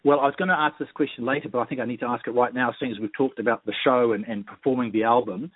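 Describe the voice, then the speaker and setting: A man interviewing a musician on the radio, asking questions in a brisk, friendly way. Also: medium pitch, steady tone, no echo. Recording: dry phone-like radio line.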